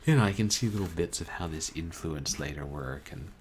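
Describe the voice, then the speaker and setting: A man streaming a book reading, chatting casually with his chat in a calm, musing, halting way. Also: low-pitched, slight background noise. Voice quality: calming voice. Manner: musing, soft-spoken, halting. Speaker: streamer reading books aloud.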